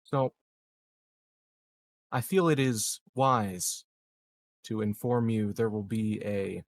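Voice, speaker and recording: A man playing a tabletop roleplaying game, speaking in a measured, slightly halting way in and out of character. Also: on the low side. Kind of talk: casual, in-character game talk.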